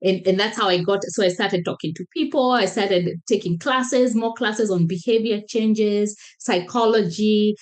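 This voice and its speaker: A woman's voice: mid-range voice, moderate pace, few pauses, darkish tone. A woman from Kenya with a Kenyan accent, speaking as an interview guest in casual chat, enthusiastic and often restarting her phrases.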